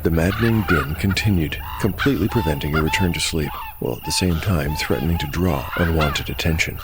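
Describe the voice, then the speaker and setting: A man acting as game master and narrator of a dark fantasy audio drama. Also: rumbling low, brisk, very noisy.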